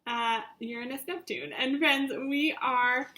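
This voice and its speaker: A woman's voice: high-pitched, steady tone, takes it slowly, pushed and bright, slight background noise, rough recording. A woman teacher reading a story aloud to young children, with simple, instructive phrasing and repetition.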